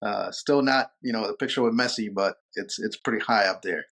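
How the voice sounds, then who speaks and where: Brief: lawyer guest from Peru, low-pitched man, thoughtful and halting, lots of "uh" and restarts. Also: gravelly, a bit bright. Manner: thoughtful, many fillers and repeated words. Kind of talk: casual interview answers.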